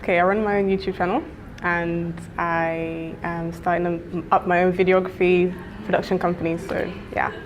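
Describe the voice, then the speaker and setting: Relaxed young woman, lowish voice. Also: normal speed, dull audio, a little hiss or hum. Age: 20s. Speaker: student interviewee. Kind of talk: casual interview answers.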